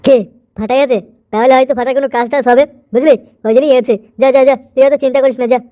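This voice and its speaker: A woman's voice: sits high, fairly even intonation, very fast, dark tone, natural voice. A woman voicing a stern, suspicious father in a cartoon comedy, speaking in a scolding, nagging manner. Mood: dismissive.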